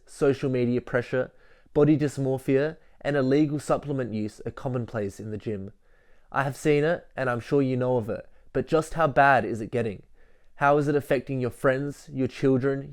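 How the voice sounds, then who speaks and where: Young guy reading out a documentary voiceover, earnest and measured, middling pitch. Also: darkish tone. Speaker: documentary narrator. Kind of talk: scripted narration.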